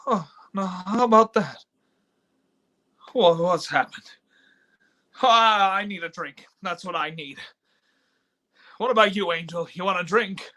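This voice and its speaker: Radio play lead: male actor as a despairing folksy everyman, high and bright, halting and stammering. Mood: bewildered. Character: despairing, stammering everyman wishing he was never born. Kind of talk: old-time radio play live reading.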